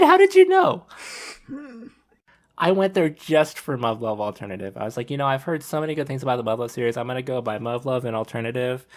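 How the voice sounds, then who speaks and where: A man's voice: medium pitch, fairly bright tone. Man chatting as an enthusiastic podcast host. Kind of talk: casual panel discussion.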